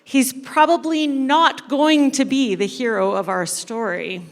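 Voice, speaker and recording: A woman leading an interactive storytelling sermon for a church congregation, playful and speaking directly to her listeners with dramatic pauses. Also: sits high. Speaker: kids ministry coordinator leading a church service. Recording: echoey hall with long reverb.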